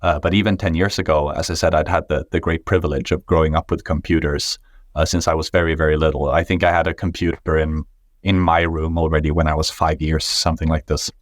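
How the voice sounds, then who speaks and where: Man, Swedish native speaker, very low pitch, dry recording, lots of uh fillers, podcast guest. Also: little pitch movement, brisk, dry recording. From Sweden. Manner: thoughtful, with frequent uh fillers and restarts. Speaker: guest, tech startup founder. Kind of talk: podcast interview answers.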